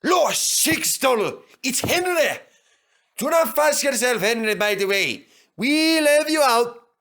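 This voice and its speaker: A man voicing an excitable, earnest and blunt twin steam engine in a children's story. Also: very high voice, slow, voice pushed up, exaggerated voice. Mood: urgent.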